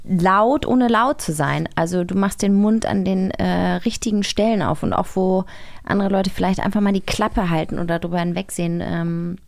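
A woman's voice: medium pitch, moderate pace, creaky, a little mellow. A woman co-hosting a podcast in a casual, slangy conversational style. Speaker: podcast co-host.

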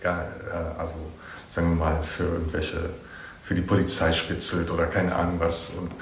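A man with a low voice talks informally as an interviewer. The room echoes noticeably around him, and there is faint background noise. His delivery is that of a casual chat.

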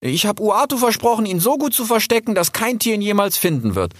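A man's voice: high-pitched, sing-song, fairly quick, no room sound, clean studio sound, pitched above the performer's own voice. A man voicing an earnest, cautious young male animal in a storybook, his voice raised well above his own.

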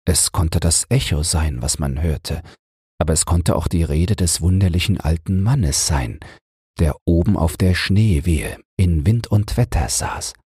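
Very deep, husky male bedtime storyteller. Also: warm tone, no room sound, clean studio sound. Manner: measured, soothing storytelling. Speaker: audiobook reader. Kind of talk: slow bedtime fairy tale narration.

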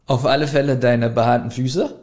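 A man's voice: medium pitch, fairly even intonation, brisk, darkish tone. A man co-hosting a podcast in casual chat, laid-back, answering with dry remarks and short agreements. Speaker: podcast co-host.

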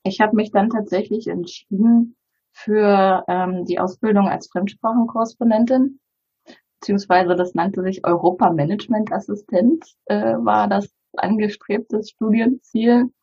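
Husky-voiced woman in her thirties, hesitant. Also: mid-range voice, steady tone, unhurried, warm tone, dull audio. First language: Bulgarian. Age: 30s. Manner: hesitant, many fillers and restarts. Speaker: interview guest. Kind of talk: casual interview talk.

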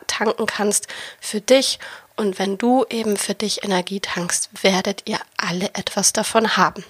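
A woman's voice: medium pitch, breathy edge, no echo. A young woman with a cold, hosting a podcast as a baby sleep coach and speaking in an encouraging way straight to the listener. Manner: warm encouraging direct address, addresses listener as du. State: has a cold. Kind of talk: casual podcast monologue.

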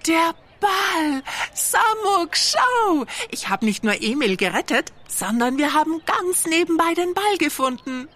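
A caring female gibbon from a children's audio play, with a high voice. She sounds excited and reassuring, her melody leaping around in a lively way. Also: a little slow, exaggerated voice. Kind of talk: German children's audio drama acting.

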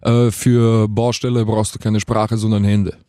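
A man's voice: lowish voice, flat delivery, measured pace, mellow, clean tone, no room sound, studio quality. A man, chatting casually as a guest in a radio interview. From Vienna, Austria. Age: 20s. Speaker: guest rapper being interviewed.

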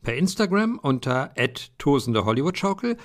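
Lively man reading a podcast outro. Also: mid-range voice, normal speed, background noise. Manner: upbeat, reads contact details. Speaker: outro announcer. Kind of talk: scripted outro.

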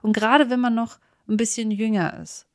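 A woman's voice: a little high, little pitch movement, moderate pace, darkish tone, no echo, studio quality. A woman co-hosting a podcast, chatting casually in a candid, self-effacing way. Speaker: podcast co-host. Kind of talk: casual chat.

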